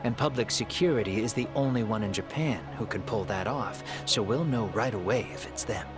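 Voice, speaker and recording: Man as calculating fugitive in a game cutscene: low, composed, secretive, deliberate. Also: music in the background, close to an everyday voice. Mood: shrewd, explaining. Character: calm, shrewd, secretive male fugitive schemer. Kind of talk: video game English dub acting.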